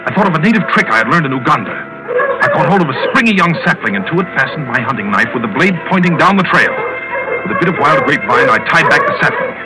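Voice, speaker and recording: A man's voice: on the high side, very animated, fast talker, over loud music, rough recording. An actor playing a determined, hunted big-game hunter, narrating his ordeal intensely in 1940s radio suspense style. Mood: urgent dread. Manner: intense, dramatic first-person storytelling. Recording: vintage radio drama recording.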